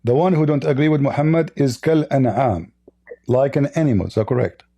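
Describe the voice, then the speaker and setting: A man with an Arabic accent, hosting a call-in debate and pressing callers with sharp rhetorical questions. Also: medium pitch, brisk, no echo. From Middle East. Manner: confrontational, rhetorical questions, sarcastic. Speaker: host of a call-in debate show.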